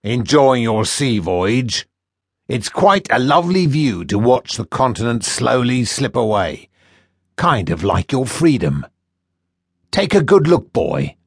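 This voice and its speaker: Brief: cruel sneering sailor villain, read by a man, husky, dry close sound. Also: mid-range voice, sing-song, dry recording, heavily acted. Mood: taunting. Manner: taunting, mocking, drawn-out menace. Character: sneering wolfish male first mate, cruel slaver. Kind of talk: audiobook character voice.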